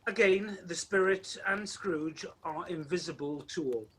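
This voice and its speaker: A man's voice: high voice, unhurried, a bit bright, breathy edge, exaggerated voice. A man acting as the storytelling narrator of an amateur Christmas radio play, reading a script. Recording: noisy home video-call recording.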